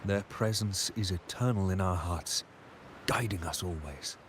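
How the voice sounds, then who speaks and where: A man's voice: low voice, a little slow. A man playing a devout, solemn guard of a religious order in a video game, speaking formally and piously. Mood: reverent. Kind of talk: scripted video game voice acting. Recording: game music behind the voice.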